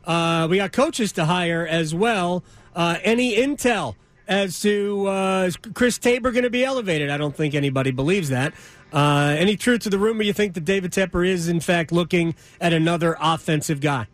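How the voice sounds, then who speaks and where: A male sports talk host with a notably high voice. He chats casually and asks questions, halting and doubling up on words as he goes. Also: dry recording.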